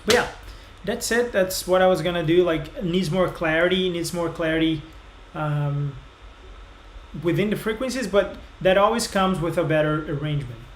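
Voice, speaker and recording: A man's voice: high-pitched, normal speed. A man in his thirties from Brazil with a Brazilian Portuguese accent, casually explaining mixing feedback on a live stream, full of fillers. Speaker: music reviewer host. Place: small home studio room. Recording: roomy echo, faint noise.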